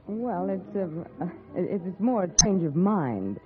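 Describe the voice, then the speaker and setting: Coy, genteel western lady, animated. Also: mid-range voice, unhurried. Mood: flirtatious, hesitant. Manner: hesitant, coy. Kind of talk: 1950s radio western acting. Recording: tinny old radio broadcast, faint music.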